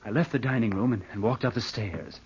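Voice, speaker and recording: A man's voice: mid-range voice, a little fast, background noise, natural voice. An actor playing a scheming, bitter nephew who broods as he narrates in an old-time radio drama. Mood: brooding, calculating. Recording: dull vintage radio recording.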